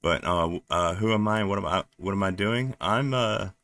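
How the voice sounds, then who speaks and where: A man from New Jersey with a deep voice, chatting casually and haltingly on a live stream while he works at his computer, with lots of fillers. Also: fairly bright tone, no room sound. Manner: rambling, halting, many fillers, laughs often. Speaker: livestreaming developer.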